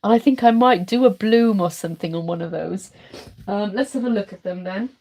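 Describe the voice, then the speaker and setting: A middle-aged woman chatting on a late-night live stream, rambling warmly with many ums while congested and chesty from a cold. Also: mid-range voice, brisk, background noise. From Wales. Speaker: art channel host.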